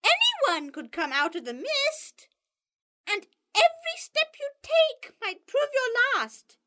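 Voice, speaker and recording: Very high shrill bird, bossy scolding hawk, wildly swooping, bright edge. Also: female voice, very animated, takes it slowly, exaggerated voice. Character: shrill scolding female marsh harrier bird.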